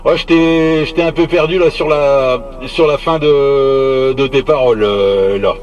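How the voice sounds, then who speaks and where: A man's voice: medium pitch, measured pace, background noise. A man chatting casually over CB radio as a hobbyist, searching for words and repeating himself.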